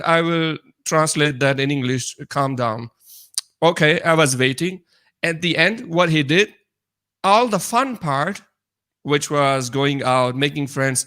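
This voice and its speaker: A man co-hosting a podcast, recounting his experiences casually in a halting way with repeated restarts. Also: a little high, bright, forceful tone. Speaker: podcast co-host. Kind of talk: casual personal anecdote in conversation.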